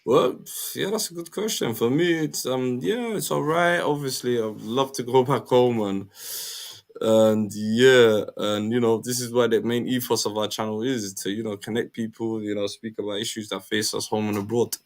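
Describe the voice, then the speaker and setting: A man's voice: medium pitch, steady tone, normal speed. A man from Somaliland with a British accent, hosting a casual live chat stream in an informal, slangy way.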